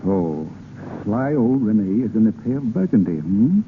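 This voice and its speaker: An actor playing a bold, gallant young poet rogue, declaiming theatrically in 1930s radio style. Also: male voice, on the low side, very animated, a little slow, a little hiss or hum. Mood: conspiratorial, resolute. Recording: tinny 1930s radio with music.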